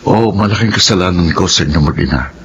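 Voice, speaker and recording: Low-voiced, earnest Filipino father played by an actor. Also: quick pace, background noise, lightly acted. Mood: remorseful, pleading. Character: remorseful returning absent father, earnest and pleading. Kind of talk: Filipino melodrama acting. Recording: dull lo-fi sound, music behind.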